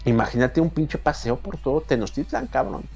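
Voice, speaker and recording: A man's voice: fairly high pitch, moderate pace, slightly rough, muffled recording, soft background music. A man in his thirties with a Mexican accent, chatting casually as a show host, profane and rambling, recorded on a webcam in a small room. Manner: profane, rambling, animated with hand gestures. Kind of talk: casual chat.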